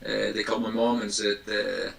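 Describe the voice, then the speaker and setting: A man in his twenties from Denmark with a Danish accent, answering interview questions casually in hesitant, halting English as a non-native speaker. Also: fairly low pitch, flat delivery, gravelly, a bit bright. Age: 20s. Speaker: interview guest. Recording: roomy, distant mic with faint noise.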